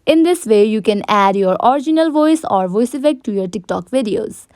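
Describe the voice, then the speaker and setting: A woman's voice: on the high side, lively intonation, a little fast, a little mellow, no echo. A woman narrating a step-by-step app tutorial, addressing viewers directly with simple instructions. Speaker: tutorial presenter.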